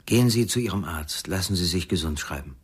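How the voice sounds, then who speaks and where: An actor playing a cool, inscrutable doctor in a radio crime drama, speaking in curt, measured lines with a husky voice. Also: male voice, deep voice, animated. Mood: cool, firm.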